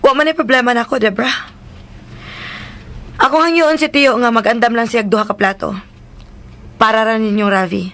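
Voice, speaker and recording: An actress with a Cebuano accent playing a patient, long-suffering daughter who pleads and keeps the peace in a radio drama. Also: female voice, on the high side, steady tone, moderate pace, pauses often, fairly bright tone. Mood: resigned. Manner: pleading, conciliatory.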